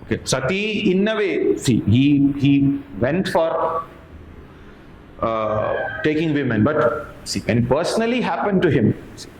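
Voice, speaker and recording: A man's voice: a little high, sing-song, a little slow, darkish tone. A man with an Indian accent giving an explanatory exam lecture as a history teacher, didactically repeating key points and putting questions to his listeners. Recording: echoey room with faint background noise.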